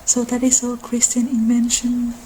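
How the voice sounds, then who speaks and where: A woman who is not a native English speaker, giving a soft ASMR-style informal lecture in a halting way, as if explaining lore on her own channel. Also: a little high, completely flat delivery, slight background noise, low-quality recording. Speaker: host of a mythology channel.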